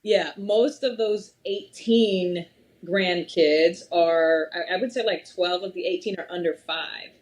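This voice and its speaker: A woman's voice: fairly low pitch, slow pace. Talkative young woman guest. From Massachusetts, USA. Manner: talkative, rambling, lots of fillers and laughs. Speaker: podcast guest, wealth strategist. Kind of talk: casual interview chat.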